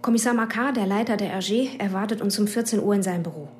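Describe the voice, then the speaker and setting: A woman's voice: medium pitch, quick pace, slight background noise, lightly acted. A woman playing a terse, guarded young policewoman. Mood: urgent. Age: young adult. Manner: terse, controlled replies. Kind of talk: radio drama acting.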